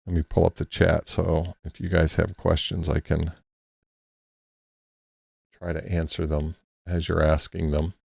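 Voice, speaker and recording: Male host, low gravelly voice, unhurried and halting, casual live-stream walkthrough, dry close mic. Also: little pitch movement, darkish tone, dry recording. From Texas. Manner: halting, thinks aloud with many restarts and fillers.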